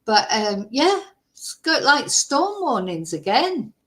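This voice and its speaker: A woman from Manchester with a softened Mancunian accent, hosting a casual true-crime livestream, chatty and rambling, greeting viewers by name. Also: sits high, sing-song, slow pace. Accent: softened Mancunian English. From Manchester, England.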